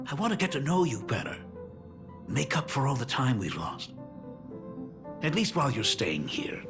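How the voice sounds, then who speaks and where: A doting middle-aged father, bumbling and apologetic, with a gravelly edge to his voice. His pitch swings widely as he hesitates and fumbles for words. Game music plays underneath. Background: game soundtrack music.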